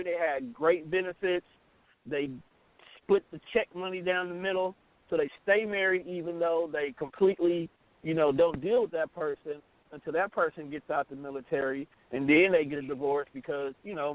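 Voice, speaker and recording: A man's voice: a little high, no room sound. An American man co-hosting a radio talk show, explaining his opinions in a casual, emphatic, colloquial way. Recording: narrow phone-like line, dry.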